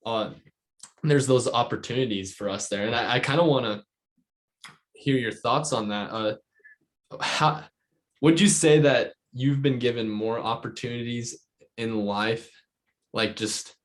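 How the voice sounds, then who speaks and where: A man's voice: on the low side, measured pace. A man hosting a casual interview podcast, rambling and enthusiastic, peppering his sentences with "like". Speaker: podcast host.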